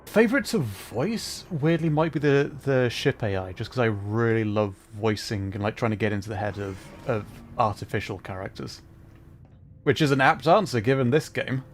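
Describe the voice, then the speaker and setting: A man livestreaming a game, giving casual, rambling commentary and chatting as he plays. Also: mid-range voice. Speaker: livestreamer, VTuber. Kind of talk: casual gameplay commentary and chat. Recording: faint background noise, game ambience.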